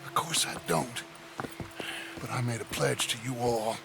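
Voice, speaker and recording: An actor playing a ruthless middle-aged outlaw gang leader in a video game, with an American accent and a theatrical, persuasive way of talking. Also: male voice, medium pitch, unhurried, gravelly, noisy background. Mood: earnest, self-justifying. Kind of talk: video game cutscene acting. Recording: game music behind.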